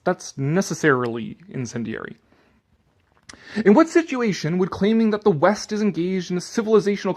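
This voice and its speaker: Sarcastic man with big theatrical pitch swings. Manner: sarcastic, exasperated, freely swearing; theatrical, swinging between declaiming and offhand muttering. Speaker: commentator reading an article aloud. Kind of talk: dramatic reading with sarcastic asides.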